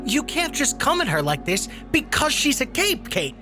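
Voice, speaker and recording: A man's voice: very high voice, huge pitch swings, moderate pace, music in the background, exaggerated voice. A man playing an earnest, principled social worker in an audio drama, arguing his case. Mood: pleading, defensive. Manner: earnest, pleading.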